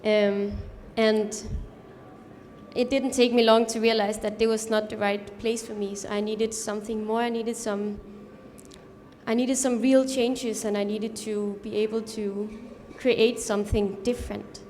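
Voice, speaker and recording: A woman's voice: medium pitch, level tone, unhurried, darkish tone, slight background noise. A young woman from Denmark introducing herself to an audience in a plain, earnest way. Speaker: student presenter. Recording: reverberant hall, faint ambience.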